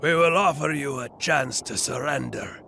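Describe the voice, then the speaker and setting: Gloating male warlord villain; slow, gravelly menace with a sharp edge. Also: mid-range voice, slight background noise. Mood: menacing. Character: menacing male warlord offering cruel terms. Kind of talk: video game cutscene acting.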